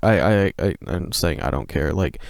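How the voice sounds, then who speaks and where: Tired male podcast co-host, low and smooth, laid-back rambler, dry close mic. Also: animated, normal speed, no echo. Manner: laid-back, rambling, heavy swearing and filler "like". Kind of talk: casual podcast chat.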